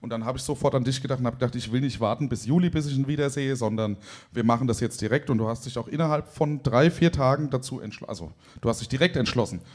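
A man's voice: mid-range voice, fast, a little mellow. A man hosting a DJ show, talking casually with guests in speech and tagging sentences with "ne?". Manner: chatty, keeps adding "ne?" tags. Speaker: host of a DJ stream show. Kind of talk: casual interview chat.

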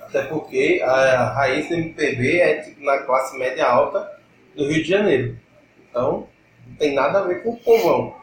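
Young man, teasing co-host; slightly high voice, echoey room with noticeable hiss. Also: normal speed. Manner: teasing, slangy, wisecracking. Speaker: podcast co-host. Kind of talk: casual podcast chat. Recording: roomy room with clear noise.

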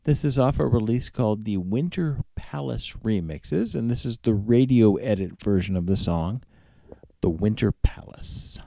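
A man's voice: fairly low pitch, animated, measured pace, gravelly, noisy background. A man hosting a music radio show, casually back-announcing tracks in an informal, conversational manner.

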